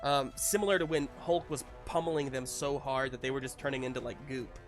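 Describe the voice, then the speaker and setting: A man narrating a tabletop superhero game as game master in a casual, halting way, often searching for his words. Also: mid-range voice, fairly even intonation, soft background music.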